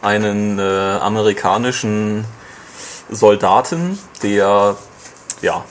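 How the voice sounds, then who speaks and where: A man reviewing a video game in casual podcast chat, explaining at length with many fillers and pauses. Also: on the low side, a little hiss or hum.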